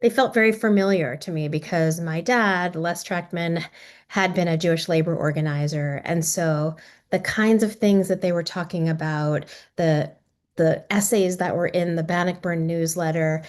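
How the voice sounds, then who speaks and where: A middle-aged woman from Maryland, a documentary filmmaker, talking about her film in a reflective, halting way with many pauses and restarts. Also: lowish voice, fairly even intonation.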